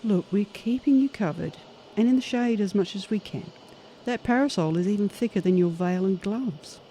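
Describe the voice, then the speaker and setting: A woman's voice: mid-range voice, moderate pace, mellow, a little hiss or hum, close to an everyday voice. A woman playing a practical, upbeat superhuman monster adventurer in an audio horror-comedy, with a folksy, coaxing manner. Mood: practical, teasing. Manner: folksy, plain-spoken, coaxing.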